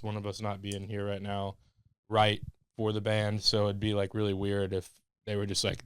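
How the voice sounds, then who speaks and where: A man's voice: on the low side, fairly bright tone, clear, resonant tone, no echo. A man in a band, telling the story behind a record in a laid-back, matter-of-fact way during an interview. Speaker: band member. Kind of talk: casual interview chat.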